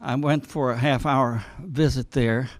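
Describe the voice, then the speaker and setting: A man from Ohio with an American accent, giving an informal, halting and meandering account to club members. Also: medium pitch, steady tone, dry recording. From Ohio, United States. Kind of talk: informal anecdotal report.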